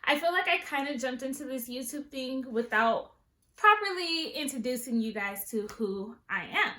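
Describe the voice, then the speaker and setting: Woman, twenties, from Southern California; bright, sassy vlog chatter in an echoey room. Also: high voice, expressive, moderate pace. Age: 20s. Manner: chatty, sassy, playful asides. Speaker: beauty vlogger. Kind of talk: casual chat.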